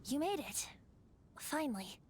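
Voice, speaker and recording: A woman's voice: high-pitched, sing-song, unhurried, a little mellow, slight background noise. A woman voicing a whimsical boyish bard god in an anime-style video game, playful and theatrical in delivery. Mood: cheerful, playful.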